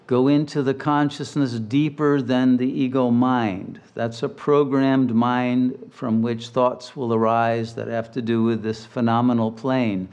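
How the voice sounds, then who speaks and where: Male meditation guide, mid-pitched, very unhurried, soothing and deliberate, leaving long pauses. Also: a little mellow. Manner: measured, deliberate, soothing phrasing. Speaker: meditation teacher.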